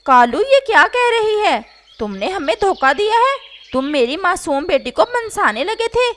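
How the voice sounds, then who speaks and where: A woman's voice: extremely high pitch, big pitch swings, normal speed, a bit bright, a little hiss or hum, voice pushed up, heavily acted. A woman voicing a demanding older mother-in-law bird in a Hindi cartoon, imperious and fussy. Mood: indignant. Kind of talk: Hindi cartoon character acting.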